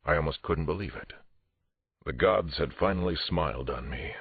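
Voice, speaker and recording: A man's voice: moderate pace, full character voice. A man reading a first-person audiobook thriller as a police detective, tense, restrained and dramatic. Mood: grim. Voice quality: very deep, husky. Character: male police detective narrator, angry but controlled. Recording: roomy, muffled phone-like audio.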